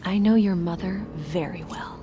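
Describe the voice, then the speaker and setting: A woman's voice: on the low side, moderate pace, dark tone, a little hiss or hum, close to an everyday voice. An actress playing a wounded, distrustful young woman in a video game drama, speaking in an intense, bitter and guarded manner. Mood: probing.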